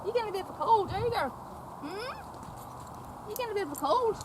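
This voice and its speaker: High-voiced woman coaxing a horse. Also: animated, normal speed. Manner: repeats phrases, stammers on words; coaxing baby talk to the mare. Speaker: vlogger visiting a stud farm. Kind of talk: casual talk to a horse and companion. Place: outdoor paddock at a stud farm. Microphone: camera held by companion. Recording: outdoor wind and farm noise.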